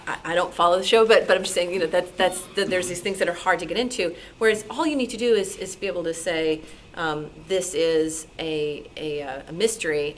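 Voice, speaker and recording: Low-voiced American woman, noisy live recording. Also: fairly bright tone. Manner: enthusiastic, conversational. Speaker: radio programmer speaking at a club meeting. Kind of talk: informal question-and-answer talk. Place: club meeting, live room.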